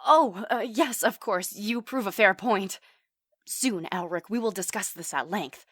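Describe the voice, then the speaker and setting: An actress playing an eager, scholarly young elf mage, chatty and a little nervous, in an audio drama. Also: female voice, mid-range voice, measured pace, a bit bright, breathy edge, no echo, clean studio sound. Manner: bubbly, nervous laughs, chatty.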